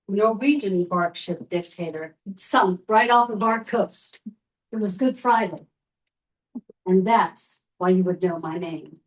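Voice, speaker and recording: A woman storyteller playing a wistful, sea-loving 19th-century Norwegian captain's wife, telling her tale in the first person with a deliberate, dramatic delivery. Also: mid-range voice, distant mic, lightly acted, low-quality recording. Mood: fond, proud.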